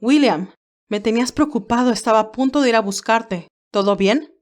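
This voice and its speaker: A woman's voice: on the high side, normal speed, soft background music, lightly acted. A woman voicing a stern, protective older male advisor in an audio drama, speaking in an earnest, admonishing way. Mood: concerned.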